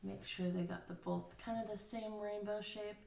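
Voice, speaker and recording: A woman's voice: medium pitch, steady tone, moderate pace, creaky, a little mellow, slight background noise. A woman casually explaining a craft step by step at a table, thinking aloud with fillers. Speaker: craft instructor. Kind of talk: casual hands-on craft tutorial. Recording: roomy, slightly distant.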